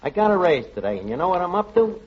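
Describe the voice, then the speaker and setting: A man's voice: high voice, very animated, rapid-fire, husky, full character voice. An actor playing a brash, lovestruck tavern manager with a New York accent, slangy and mangling his words in 1940s radio comedy style. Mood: cocky, boastful. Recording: muffled noisy vintage radio, loud studio audience.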